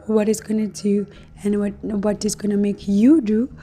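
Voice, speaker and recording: A woman hosting an astrology talk to her viewers, hoarse and losing her voice, speaking casually with many fillers and soft laughs. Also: medium pitch. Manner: slow, relaxed, many fillers and light laughs. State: losing her voice, deeper than usual. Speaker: astrology channel host.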